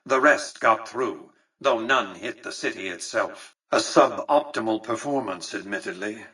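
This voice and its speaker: An actor playing a calculating, condescending old tycoon in a video game, speaking in formal, precise, pompous sentences. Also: male voice, on the low side, unhurried, bright, forceful tone, husky, distant mic, exaggerated voice, poor audio. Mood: proud, reflective. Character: imperious, calculating old male tycoon ruling a city. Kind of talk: scripted video game dialogue.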